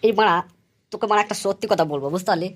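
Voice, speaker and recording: A woman's voice: mid-range voice, racing, natural voice. Crude cartoon young man, played by a woman: leering, slangy, colloquial, comic. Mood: blunt, gossipy. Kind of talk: comic Bengali cartoon dialogue.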